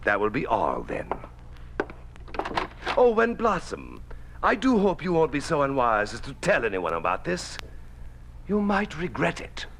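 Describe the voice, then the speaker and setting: Menacing, creaky-voiced commissar, lively intonation. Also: male voice, a little high, a little slow, pauses often, full character voice. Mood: threatening, curt. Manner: precise, formal, condescending. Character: smooth, menacing, corrupt communist commissar. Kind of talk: 1950s radio drama acting. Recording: noisy vintage 1950s radio recording.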